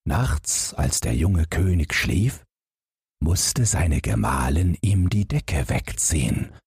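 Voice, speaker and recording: A man narrating a scripted fairy tale as an expressive audiobook storyteller, close to the microphone. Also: very deep voice, breathy edge, no echo. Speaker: audiobook narrator and podcast host.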